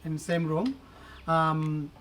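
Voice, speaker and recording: A man in his forties answering interview questions casually, halting and searching for words with many fillers. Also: on the high side, a bit bright, slight background noise. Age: 40s.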